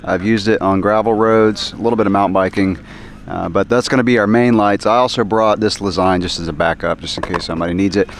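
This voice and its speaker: A man talking casually and upbeat to his camera at an outdoor race, his voice a bit hoarse from yelling. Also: fairly low pitch, steady tone, quick pace, a little hiss or hum. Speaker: vlogger. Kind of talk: casual vlog narration.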